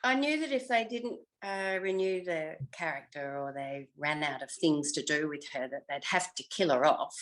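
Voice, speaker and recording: Older lady, lowish voice, chatting about her old acting days, gushes a bit, pauses to remember stuff. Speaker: interview guest, former TV actress. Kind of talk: reminiscing interview chat.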